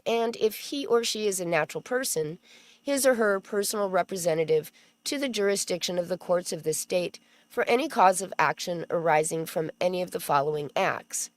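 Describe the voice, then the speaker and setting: A woman's voice: mid-range voice, dry recording. A woman hosting a casual commentary stream, chatty and sarcastic, who stops to read legal text aloud. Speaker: commentary channel host.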